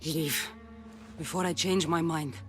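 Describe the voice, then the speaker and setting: An actress playing a distrustful, defiant ancient Greek mercenary in a video game, with blunt and guarded delivery. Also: female voice, low-pitched, steady tone, a little slow. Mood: grim, resolute. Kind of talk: video game dramatic acting. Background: music.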